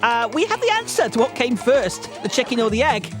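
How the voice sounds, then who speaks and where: A woman's voice: a little high, sing-song, brisk, music in the background. A woman hosting a live gaming marathon, reading out donation messages. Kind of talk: live announcing.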